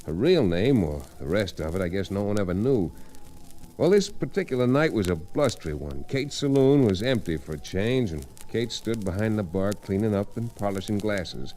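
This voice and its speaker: An actor playing a wry frontier lawyer, narrating a 1940s radio Western in a folksy, unhurried way. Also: male voice, lowish voice, sing-song, mellow. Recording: vintage radio recording with music.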